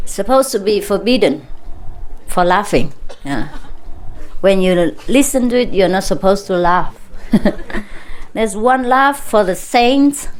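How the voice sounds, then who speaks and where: A woman with a Vietnamese accent speaking informally to a gathering as a spiritual teacher, playful and teasing, often laughing as she talks. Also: medium pitch. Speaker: spiritual teacher addressing followers. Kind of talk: informal talk with joking banter to an audience. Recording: clear background noise, live gathering.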